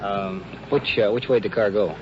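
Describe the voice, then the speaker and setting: A man's voice: fairly low pitch, normal speed. A male police sergeant talking on duty out on the streets, authoritative and matter-of-fact, in an old field radio recording. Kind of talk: unscripted on-duty police talk. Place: outdoors on city streets. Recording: muffled noisy 1950s field radio recording.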